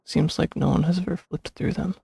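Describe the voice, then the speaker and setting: A man streaming a video game, casually commenting and reading text aloud in an offhand, gravelly, husky voice. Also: on the high side, steady tone, brisk, warm tone, no room sound, studio quality. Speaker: streamer playing and reacting. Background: faint game music.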